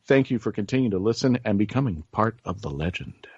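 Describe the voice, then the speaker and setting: A man's voice: on the low side, animated, a little fast, muffled recording, background noise. A man hosting a casual interview show, talking in an effusive, informal, rambling way. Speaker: talk show host.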